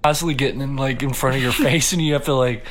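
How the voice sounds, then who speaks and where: A man's voice: mid-range voice, fairly even intonation, fast talker, fairly bright tone. A man co-hosting a podcast in casual chat, giggling, swearing casually and swinging his tone up and down. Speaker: podcast co-host.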